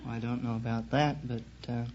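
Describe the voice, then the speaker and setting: A man answers a quiz host's questions. His voice sits at a middling pitch. It comes through a muffled 1950s radio recording with slight noise. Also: fairly even intonation, moderate pace, a little mellow. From Australia. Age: 20s. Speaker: quiz show contestant.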